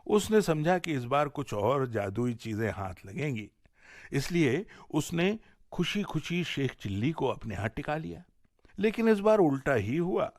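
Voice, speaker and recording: A man with an Indian accent tells a folk tale for radio. His pitch moves widely and expressively through each sentence, and the recording is close and dry. The delivery is scripted and dramatic. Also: unhurried, no room sound. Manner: dramatic, animated storytelling delivery. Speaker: storyteller and presenter on a radio show.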